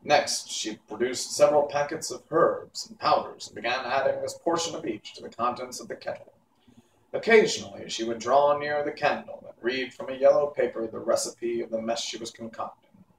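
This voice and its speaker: A man reading a classic children's book aloud in an unhurried, expressive storytelling manner. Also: medium pitch, gravelly. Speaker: audiobook reader. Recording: echoey room, distant mic, faint hiss.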